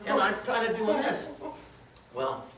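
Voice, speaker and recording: A man performs a comic tall tale with plenty of theatrical flourish. His delivery is highly animated, with wide swings in pitch. He is heard at a distance from the microphone in a very echoey room. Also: unhurried, slight background noise. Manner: theatrical, dramatic storytelling with exclamations and acted-out quotes. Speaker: storyteller in a tall tales contest. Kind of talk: live comedic tall-tale storytelling to an audience. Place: indoor room before a live audience.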